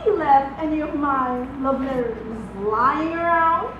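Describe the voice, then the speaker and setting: A woman acting a worldly, self-assured stage actress in a play, speaking grandly and teasingly with dramatic pauses. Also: high voice, lo-fi sound. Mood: playful, teasing. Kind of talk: theatrical one-act stage play acting. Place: large auditorium stage, distant microphone. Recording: echoey noisy auditorium from far off.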